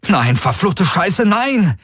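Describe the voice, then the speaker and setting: A man's voice: high voice, sing-song, takes it slowly, fairly bright tone, slightly rough, no echo, studio quality, pitched above the performer's own voice, heavily acted. A man voicing a hot-headed, desperate starship pilot in a science fiction audiobook, urgent and exclaiming.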